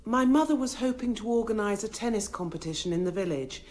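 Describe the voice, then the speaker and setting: Woman playing dutiful young daughter, careful, polite, halting, earnest, radio drama witness. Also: medium pitch, animated, darkish tone, close to an everyday voice. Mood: earnest, pained.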